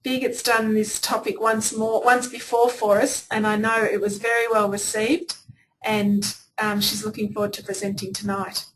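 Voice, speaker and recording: A woman's voice: mid-range voice, little pitch movement, moderate pace, fairly bright tone. A woman hosting an online webinar, introducing the speaker and running a poll in a friendly, orderly way. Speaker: webinar host. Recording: distant mic with faint noise.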